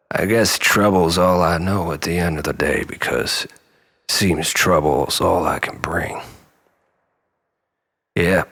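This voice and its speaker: Man acting as a gravelly, brooding, remorseful lover. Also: low-pitched, a bit bright, full character voice. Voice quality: gravelly, breathy, often near whisper. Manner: halting, rambling, nervous self-corrections and sighs. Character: troubled, remorseful, obsessive man pleading with lost lover. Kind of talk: dramatic confessional monologue.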